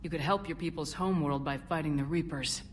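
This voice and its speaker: A woman's voice: deep voice, fairly quick, close to an everyday voice. An actress playing a resolute, direct female military commander in a video game. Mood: calm.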